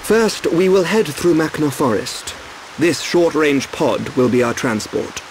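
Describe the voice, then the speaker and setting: An actor playing a composed, knowing guide in a video game, formal and precise. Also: male voice, fairly high pitch, lively intonation, a little slow, slight background noise, low-quality recording. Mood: calm, assured. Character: composed, knowing male guide through dangerous lands. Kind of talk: video game cutscene acting. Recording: faint music behind the voice.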